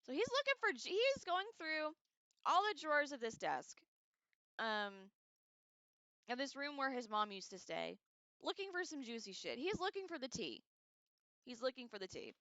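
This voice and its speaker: Woman, livestream host, higher-pitched, very lively intonation, casual read-along, irreverent slangy asides. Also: moderate pace, long pauses, no echo, muffled recording. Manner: expressive, animated asides. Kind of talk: casual read-aloud with joking commentary.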